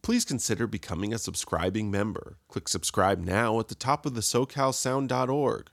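Gravelly guy reading a station promo, dry close sound, flat read. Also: fairly low pitch, moderate pace, no room sound. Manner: even, read-out delivery. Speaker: station announcer.

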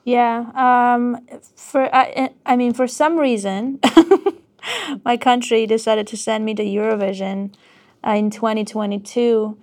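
A woman from Armenia, a guest singer talking casually in a radio studio, hesitant with many pauses and ums. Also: on the high side, fairly even intonation. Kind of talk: casual interview.

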